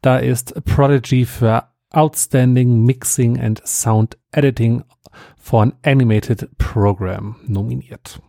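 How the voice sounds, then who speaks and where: A man presents news items on a podcast. His voice is dark and relaxed, and he speaks very slowly in a matter-of-fact, slightly halting manner. The recording is close and dry. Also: mid-range voice, vocal fry, no room sound. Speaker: podcast co-host.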